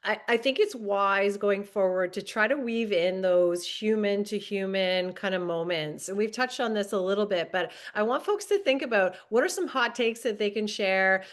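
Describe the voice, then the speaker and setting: A woman on a panel giving practical marketing advice in a steady, conversational way. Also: mid-range voice. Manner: advising, tip-giving, steady with fillers. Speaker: social media marketer, panelist. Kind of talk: casual panel discussion.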